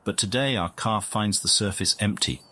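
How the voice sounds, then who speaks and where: A man performing a scripted nature-documentary narration in a game, with a solemn, measured, dramatic delivery. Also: on the low side, bright, forceful tone. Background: music.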